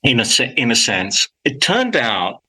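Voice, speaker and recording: An Australian man in his sixties, his first language Greek, talks through an interview answer at an ordinary pitch. His voice is bright and forward. He pauses and repeats words as he works out what to say. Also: very animated, husky. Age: 60s. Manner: thoughtful, hesitant, with frequent repeated words. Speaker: guest professor.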